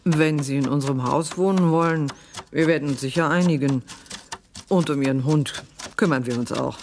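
An actress playing a blunt, suspicious village spinster in a radio drama. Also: female voice, low voice, moderate pace, background noise.

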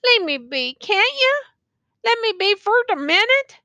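A woman's voice: extremely high pitch, very animated, measured pace, bright, forceful tone, no echo, pitched above the performer's own voice, heavily acted. A woman voicing a dying tall male soldier who begs in a rustic drawl, then stubbornly refuses help. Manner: rustic drawling dialect, begging.

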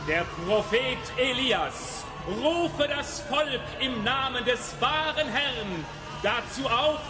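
High-pitched, fanatical prophet. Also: male voice. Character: fanatical male biblical prophet, wrathful and solemn. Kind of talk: theatrical radio drama declamation.

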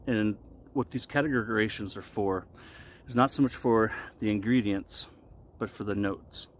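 Male vlogger, 40s, lowish voice, casual explainer with halting delivery, slightly noisy outdoor sound. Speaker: vlogger reviewing shaving products. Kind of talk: casual explanatory talk to camera while shaving. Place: outdoors in a wooded park clearing. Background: outdoor ambient noise.

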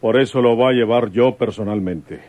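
A man with a Mexican accent playing a gruff older rural police commissioner, plain-spoken and grieving, in an old radionovela. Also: mid-range voice, moderate pace, dry recording, narrow, tinny audio, close to an everyday voice. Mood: calm, confiding. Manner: rustic countryside speech.

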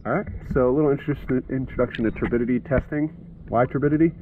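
A man's voice: medium pitch, quick pace, narrow, tinny audio. A man in his thirties in a kayak on a lake, casually explaining a water test with fillers and thinking aloud. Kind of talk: casual outdoor demonstration. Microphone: action camera at arm's length. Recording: noisy outdoor camera audio.

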